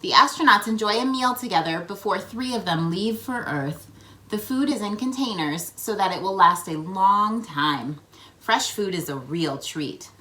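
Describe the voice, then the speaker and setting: Expressive woman reading aloud to children. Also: mid-range voice, measured pace. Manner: playful, expressive, prompting the listener with questions. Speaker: children's read-aloud host. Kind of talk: picture book read-aloud with questions to young listeners.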